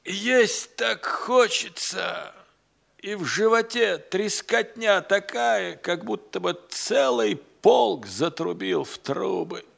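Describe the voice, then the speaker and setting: An actor playing a hungry, idle, crafty manservant in an audio play, grumbling and sighing in folksy, speech. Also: male voice, high voice, lively intonation, slow, vocal fry, a bit bright, full character voice. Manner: folksy, drawling, sighing, grumbling, relishing his words. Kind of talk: theatrical audio-play monologue.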